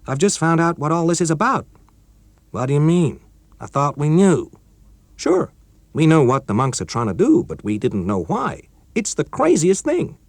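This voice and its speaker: A man putting on a jittery, high-voiced young technician. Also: big pitch swings, moderate pace, dry recording. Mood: urgent. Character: urgent nervous young American male technician.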